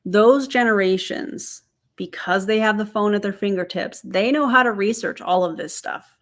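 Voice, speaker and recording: A woman's voice: mid-range voice, normal speed. A woman in her forties hosting a reaction commentary, sarcastic and incredulous, talking straight to her viewers. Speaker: commentary host.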